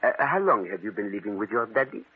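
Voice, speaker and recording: An actor playing a courteous, shrewd detective with a French accent and formal, deliberate phrasing, in old-time radio drama style. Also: male voice, fairly low pitch, lively intonation, husky. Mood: gentle, kindly. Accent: French-speaking European. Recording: muffled, narrow old radio broadcast.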